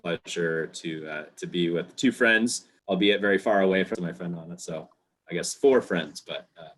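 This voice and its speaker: A man joining a live-streamed panel over a video call, relaxed and halting over a bad connection. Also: low voice.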